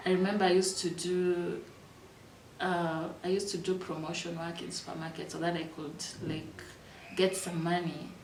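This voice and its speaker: A Kenyan woman in her thirties telling her life story in a reflective, unhurried way, with fillers and restarts. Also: lowish voice, level tone, a little mellow, distant mic, slight background noise. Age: 30s. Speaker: interviewee. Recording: echoey room, voice from off-mic.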